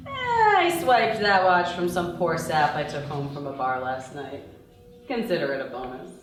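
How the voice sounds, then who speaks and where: A woman's voice: deep voice, big pitch swings, normal speed, noisy background. Woman acting a brash young woman thief, very echoey room. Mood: cocky, casual. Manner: foul-mouthed, blunt. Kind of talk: screenplay table read. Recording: echoey room, distant mic.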